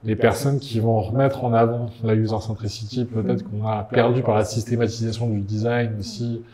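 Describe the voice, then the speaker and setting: A middle-aged man hosts a design meetup panel. His voice is low and mellow. He mulls ideas aloud with a dry aside before putting a question. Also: level tone, normal speed. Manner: reflective, wry asides. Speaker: meetup host, moderator. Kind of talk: moderating panel discussion.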